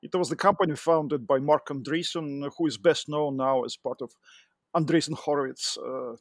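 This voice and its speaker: A middle-aged man from Russia with a Russian accent, a podcast guest telling his career story with many pauses and fillers. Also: on the high side, steady tone, no room sound, studio quality. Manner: hesitant, many fillers and restarts. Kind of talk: interview conversation.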